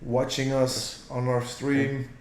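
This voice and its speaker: Flemish male co-caster, chatty and easygoing, native Dutch, average pitch, reverberant room. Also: slow pace, a little hiss or hum. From Belgium. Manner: relaxed, chatty, reads viewer comments. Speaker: stream commentator. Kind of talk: casual play-by-play commentary. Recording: roomy echo.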